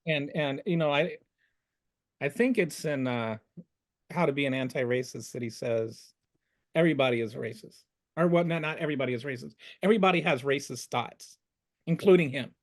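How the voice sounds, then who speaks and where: A man sharing opinions in a casual discussion, haltingly restating his points for emphasis. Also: medium pitch. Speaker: discussion participant.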